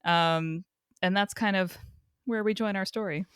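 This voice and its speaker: A woman's voice: mid-range voice, measured pace, fairly bright tone, smooth, dry recording, studio quality. Wry, playful woman co-hosting. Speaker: podcast co-host. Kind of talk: casual chat.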